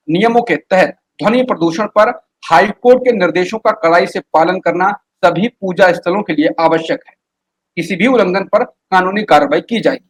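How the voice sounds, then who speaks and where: High-pitched male news anchor. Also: poor audio. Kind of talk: scripted news narration.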